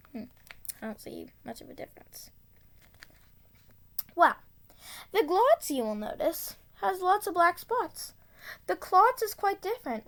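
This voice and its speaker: A woman reading a children's tongue-twister book aloud, enunciating carefully and deliberately. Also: high-pitched, a bit bright. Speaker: storybook reader.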